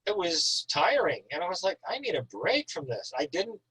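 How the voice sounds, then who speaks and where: A man with a somewhat high voice talks in a casual, enthusiastic style. He is effusive and wordy, stressing his points like an author keen to share his work. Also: big pitch swings, moderate pace, bright, forceful tone, low-quality recording. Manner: effusive, talkative, emphatic. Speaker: author, guest at an online reading. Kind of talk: casual enthusiastic chat about writing.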